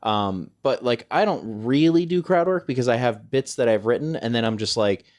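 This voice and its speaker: A man's voice: mid-range voice, lively intonation, moderate pace, darkish tone. A man hosting a casual comedy podcast, talking in a hesitant, rambling and self-deprecating way. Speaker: podcast host and stand-up comedian.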